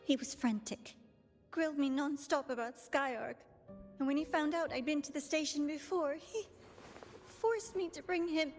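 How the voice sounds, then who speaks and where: A high, girlish villainess in a video game, theatrical and teasing, with menace under the playfulness. Her voice rings with long reverb over faint music. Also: moderate pace. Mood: shaken, frail. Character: young woman villain posing as a student, playful and menacing.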